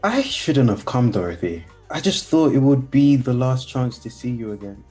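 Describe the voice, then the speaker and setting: Man acting young man, lovestruck, radio play, mellow tone, earnest, awkward, faint music behind. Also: medium pitch, sing-song, moderate pace, natural voice. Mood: apologetic. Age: young adult. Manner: earnest, hesitant.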